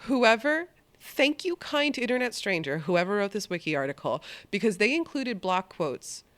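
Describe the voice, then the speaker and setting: Chatty woman podcast co-host, swears casually, lively animated pitch. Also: moderate pace. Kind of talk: casual chat.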